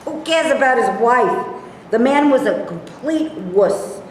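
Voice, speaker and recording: A woman reading the part of a belligerent, dismissive, sexist loudmouth at a staged play reading. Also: on the high side, animated, slow pace. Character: gruff, sexist, Reagan-loving loudmouth man. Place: staged reading in an echoey room, distant mic. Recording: echoey noisy room from far off.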